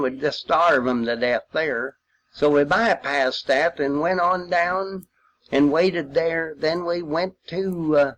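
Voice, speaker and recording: Slow-talking older man, folksy storyteller. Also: on the high side, poor audio. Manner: folksy, rambling storytelling with long hesitations. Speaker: war veteran recounting memories. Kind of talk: informal spoken reminiscence.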